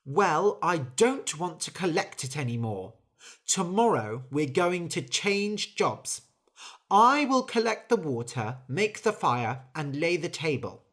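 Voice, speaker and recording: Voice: mid-range voice, big pitch swings, slow pace, a bit bright, voice pushed up, exaggerated voice. A reader doing a weary hardworking bird. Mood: fed up. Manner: earnest, complaining. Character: hardworking female bird, tired and fed up.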